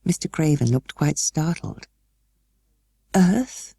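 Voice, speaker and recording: A woman reads literary fiction in a measured, expressive way. Her voice is mellow and fairly low with some creak, and the scripted narration is crafted for an audiobook. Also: no room sound, clean studio sound.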